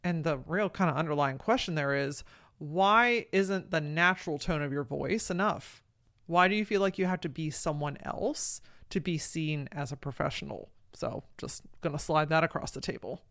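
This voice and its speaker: Woman with a low, deep voice. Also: moderate pace, a bit bright. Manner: frank and encouraging, casual with mild swearing. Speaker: podcast host. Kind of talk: solo podcast talk, motivational.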